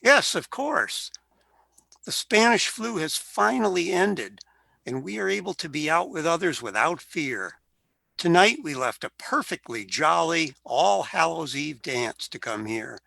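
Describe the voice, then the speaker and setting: A man acting in a radio-style play as a polite, well-bred young gentleman of the 1920s, with formal manners. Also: on the high side, animated, unhurried, vocal fry, no echo, exaggerated voice. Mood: explanatory.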